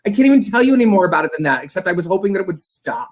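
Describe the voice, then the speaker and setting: A man's voice: high-pitched, big pitch swings, racing. A man speaking as a co-host on a music panel, giving opinions casually and emphatically, piling up descriptive words. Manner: emphatic, enthusiastic, piles up adjectives.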